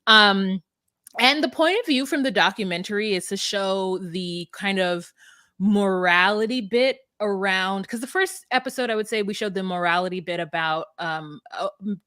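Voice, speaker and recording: A woman's voice: medium pitch, moderate pace, bright, forceful tone, no room sound, clean studio sound. A woman in her thirties hosting a podcast, chatting casually with rambling asides and frequent laughter. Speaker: podcast host. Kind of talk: casual chat.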